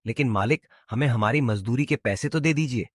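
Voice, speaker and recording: A man's voice: mid-range voice, a little fast, no echo, studio quality, close to an everyday voice. Man playing a humble, pleading farm labourer. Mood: humbly pleading. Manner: deferential, pleading. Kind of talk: Hindi moral cartoon story acting.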